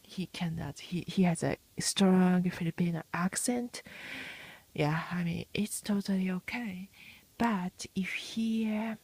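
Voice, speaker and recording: Brief: woman, husky gravelly low voice, soft halting livestream talk, dry close sound. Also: fairly even intonation, no room sound. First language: Japanese. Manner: soft, often half-whispered, halting with many fillers. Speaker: livestream host. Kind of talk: casual livestream monologue.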